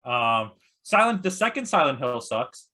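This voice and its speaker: Bright-voiced, opinionated man. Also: mid-range voice, sing-song, unhurried. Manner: opinionated, blunt, swearing. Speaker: podcast host. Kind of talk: casual chat.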